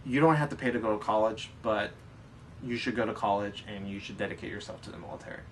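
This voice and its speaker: A man with an American accent and a fairly low voice talks casually as a podcast co-host. He explains things in a loose, rambling way. He sounds away from the microphone, with some faint noise. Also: quick pace, a bit bright. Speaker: podcast co-host answering listener questions. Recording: distant mic, background noise.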